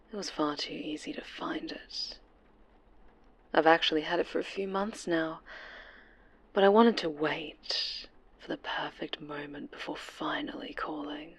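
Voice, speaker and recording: A woman playing an obsessive, menacing supervillain stalker in a yandere ASMR roleplay, breathy and often whispering, with a syrupy, taunting delivery. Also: lowish voice, low-quality recording.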